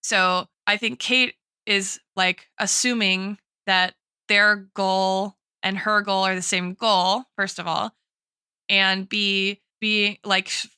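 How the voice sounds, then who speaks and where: Woman, podcast co-host, bright forceful voice, clear, academic, analytical discussion, peppered with "like" and "right". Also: medium pitch, fairly even intonation, slow pace, no echo, studio quality. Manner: academic, hedging with frequent "like" and "right".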